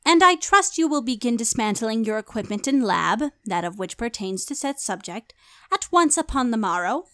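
A woman's voice: fairly high pitch, animated, normal speed, pushed and bright, clean tone, dry recording, clean studio sound. A woman voicing an imperious, darkly wry ancient pony princess in a story reading, with a haughty manner. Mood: calm, stern. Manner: formal, haughty. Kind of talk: dramatised fan fiction reading. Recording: music behind the voice.

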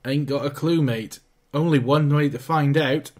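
A man voicing a gruff, blunt scrapyard foreman. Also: fairly high pitch, moderate pace, lightly acted. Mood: offhand blunt. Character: blunt working-class male scrapyard foreman.

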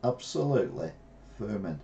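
A middle-aged English man streaming a retro racing game, reacting excitably and trash-talking rivals from a gaming chair at home. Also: fairly low pitch, normal speed, creaky. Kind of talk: live gameplay commentary. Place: small room at home, seated in gaming chair.

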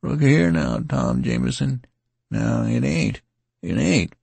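Male reader doing a tattered, wounded rustic soldier: raised, rasping voice, rambling folksy speech, audiobook. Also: mid-range voice, very animated, slow pace, a little mellow, no room sound, pitched above the performer's own voice, full character voice. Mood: slurred, pleading. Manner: rambling folksy dialect, slurring as he weakens.

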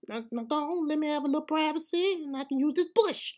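A fed-up house cat with a high voice, played by a woman in a comic pet video. It is sassy and slangy, scolding whoever is around. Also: fast talker, close to an everyday voice. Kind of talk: comedic animal voiceover.

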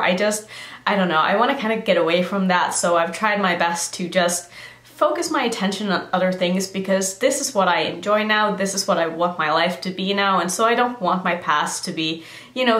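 A woman's voice: fairly low pitch, fairly bright tone, roomy sound. A woman in her thirties chatting casually to camera in a Q&A vlog, filling her talk with "like.". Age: 30s. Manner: chatty, lots of "like" fillers. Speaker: beauty vlogger. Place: decorated room indoors. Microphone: camera framing head and shoulders.

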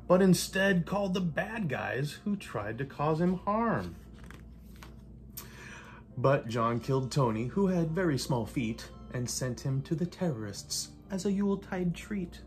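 An older man reads a rhymed picture book aloud in a living room, with measured, theatrical delivery and playful emphasis. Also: a little high, lively intonation, soft background music. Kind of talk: rhymed storybook read-aloud. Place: indoors in a home living room. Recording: light room noise.

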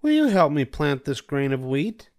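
A busy, determined little female hen from a children's storybook, acted by an older man. The voice is lifted in pitch, earnest and deliberate, with lively swings. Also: no room sound, studio quality.

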